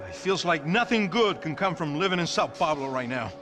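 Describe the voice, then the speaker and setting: A man's voice: fairly high pitch, lively intonation, a little fast, close to an everyday voice. Actor as a weary, embittered rich Brazilian businessman. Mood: frustrated. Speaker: wealthy businessman client. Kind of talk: cinematic video game acting. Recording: music behind the voice.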